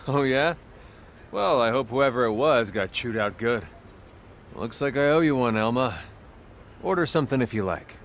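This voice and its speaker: A man as a gruff, kindly team leader. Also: medium pitch, expressive, normal speed, pauses often, a little hiss or hum, lightly acted. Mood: easygoing, wry. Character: gruff but kind, generous older male team leader. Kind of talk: video game cutscene acting.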